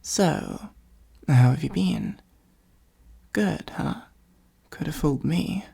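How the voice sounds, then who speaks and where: A performer voicing a theatrical supervillain in an ASMR roleplay, with a soft breathy delivery, pompous and sardonic. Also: mid-range voice, lively intonation, slow, heavily acted. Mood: wry, concerned. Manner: pompous monologuing, sardonic exasperated asides. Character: theatrical gloating supervillain, secretly fond of the hero.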